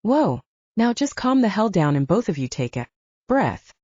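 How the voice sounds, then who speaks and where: A woman's voice: mid-range voice, sing-song, normal speed, a little mellow, breathy edge, no echo, highs cut off. Woman voicing an exasperated male soldier playing peacemaker. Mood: firm calming. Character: exasperated male soldiers trying to calm a fight.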